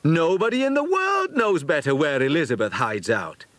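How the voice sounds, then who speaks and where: An eager schoolboy detective acted by a man in a children's audiobook. He speaks high and excitably, with bouncing intonation. His manner is earnest and exclamatory. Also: normal speed, no room sound.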